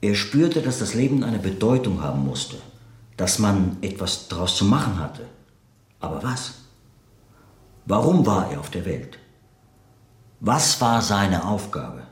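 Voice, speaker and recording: Reflective man, expressive, in a roomy space. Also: sits low. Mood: earnest, searching. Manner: searching, hesitant, earnest, pausing to reformulate. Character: reflective man recalling an enigmatic late writer friend. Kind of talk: naturalistic German radio play acting, interview-like monologue.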